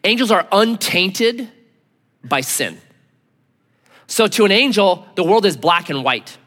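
A man's voice: very animated, slow, fairly bright tone. Guy pastor giving a sermon, earnest, voice jumps all over, long echo like a church. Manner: earnest, emphatic, drops to low intense tones. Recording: long hall reverb.

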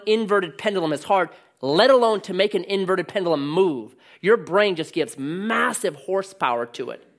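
Pastor, male, loud voice that carries; animated, joking sermon storyteller with lots of pitch movement.

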